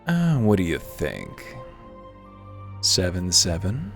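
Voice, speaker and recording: A man's voice: sits low, huge pitch swings, takes it slowly, gravelly, soft background music. A man hosting a let's-play, reading game lore aloud and breaking off for dry, wry asides.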